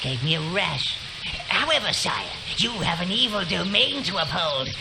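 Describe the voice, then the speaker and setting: A man's voice: high voice, very animated, moderate pace, creaky, heavy background noise, heavily acted. An actor playing a sly, obsequious old minion advisor in a video game, fawning and gleefully wicked, drawing out his words. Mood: dry counsel. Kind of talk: theatrical video game character acting. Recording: loud game music behind.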